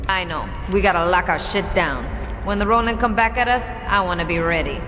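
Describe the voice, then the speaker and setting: A woman's voice: on the low side, brisk, fairly bright tone, slight background noise, close to an everyday voice. A woman playing a tough, blunt young street gang boss in a video game cutscene. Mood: determined.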